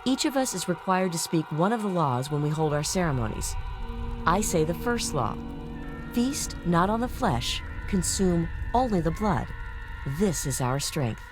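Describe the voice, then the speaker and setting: A courteous, devout cultist wife in a video game. Her lowish voice has lively movement and a formal, ceremonial manner, over background music. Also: unhurried. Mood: reverent. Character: courteous, devout cult wife reciting sacred law. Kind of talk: video game dialogue acting.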